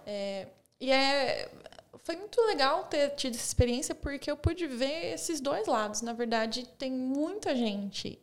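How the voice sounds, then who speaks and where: A young woman from Brazil with a slightly high voice chats casually as a podcast guest. She tells her stories loosely, leaning on fillers along the way. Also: lively intonation, measured pace. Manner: chatty, storytelling, frequent "tipo" and "sabe". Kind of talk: casual interview chat.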